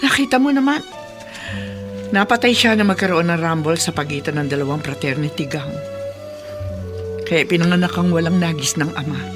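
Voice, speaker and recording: An actress playing a weary older relative, telling a story solemnly and earnestly in a radio drama. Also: female voice, fairly low pitch, moderate pace, pauses often, music in the background, close to an everyday voice. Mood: solemn, pleading. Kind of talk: Tagalog radio drama acting.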